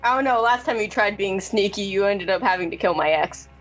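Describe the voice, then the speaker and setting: A woman's voice: medium pitch, fairly quick, soft background music, poor audio. A woman talking as a player in a tabletop roleplaying game, casual and informal, with a teasing way about her. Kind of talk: casual game chat among friends.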